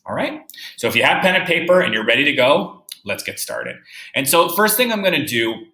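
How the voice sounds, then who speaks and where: A man's voice: a little high, big pitch swings, a little fast, bright, forceful tone, echoey room. A man teaching young children in a read-aloud lesson, explaining step by step with emphasis and checking in as he goes. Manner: instructive, emphatic, checking understanding with tag questions. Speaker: third-grade teacher.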